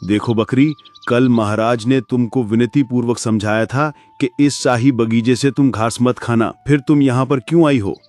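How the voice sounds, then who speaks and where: A man's voice: medium pitch, steady tone, normal speed, a little mellow, close to an everyday voice. A man voicing a loyal elephant chief minister who serves the king, in a Hindi cartoon. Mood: dutiful. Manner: dutiful, deferential to the king.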